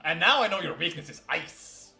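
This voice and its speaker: A man chatting casually and excitably while playing a video game, often exclaiming. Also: fairly high pitch, moderate pace, vocal fry, echoey room, over loud music. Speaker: player in a group fandub playthrough. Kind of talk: casual gaming banter.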